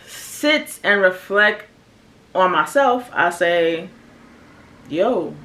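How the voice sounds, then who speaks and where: Lower-pitched young American woman, candid vlogger. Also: lively intonation, extremely slow, pushed and bright. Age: early 30s. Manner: candid, slangy, conversational. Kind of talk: casual personal reflection to viewers.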